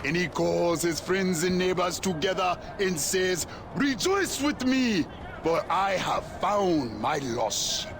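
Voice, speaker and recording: A fiery former warlord who now preaches. His high voice leaps wildly up and down as he booms out scripture. Loud game ambience hums behind him. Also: animated, takes it slowly, background noise, exaggerated voice. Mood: solemn. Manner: preachy, booming, scripture-quoting. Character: fervent male ex-warlord preacher. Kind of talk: video game acting.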